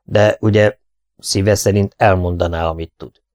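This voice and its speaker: A man voicing a calm, precise foreign detective, speaking in a measured, soothing way. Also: sits low, dry recording, clean studio sound, lightly acted.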